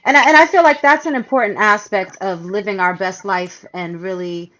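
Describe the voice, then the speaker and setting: A woman's voice: mid-range voice, moderate pace, fairly bright tone, highs cut off. Earnest woman host on a noisy call. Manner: affirming, earnest, reflective. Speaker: radio show host, interviewer. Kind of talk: conversational interview. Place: remote virtual interview.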